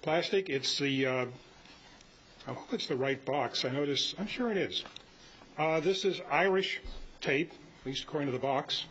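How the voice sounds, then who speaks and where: An older man in his seventies presents informally at a club meeting. He rambles haltingly in a fairly high male voice. The recording is dull, with a faint hiss. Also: a bit bright. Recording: muffled live meeting audio with hiss.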